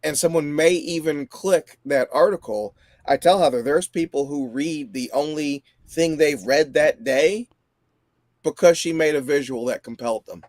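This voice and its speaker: A man's voice: a little high, normal speed, darkish tone, dry recording, studio quality. A man around forty chatting casually about politics as a show guest. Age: 40s.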